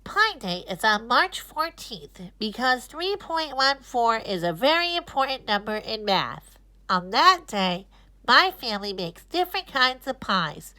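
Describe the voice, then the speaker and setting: Storybook boy, read by a woman: keen, earnest explainer, lively intonation, slightly raised pitch. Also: exaggerated voice. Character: young schoolboy Kevin, keen little science fan. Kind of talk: children's storybook read-aloud.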